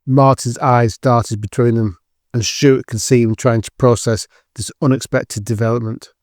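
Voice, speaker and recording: A man's voice: mid-range voice, fairly even intonation, moderate pace, darkish tone, no echo, clean studio sound. A man giving scripted narration as the storyteller of an audio drama.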